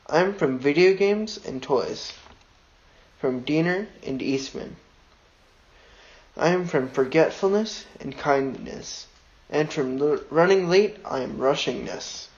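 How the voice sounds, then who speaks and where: A man reading a personal poem aloud in a slow, careful recitation, on a dull lo-fi recording. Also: on the high side, a little mellow.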